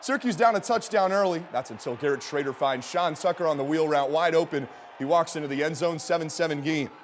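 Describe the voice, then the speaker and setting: A man with a slightly high voice narrates a scripted sports highlight package. His delivery is punchy and playful, in the storytelling style of a sports reporter. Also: quick pace, slight background noise.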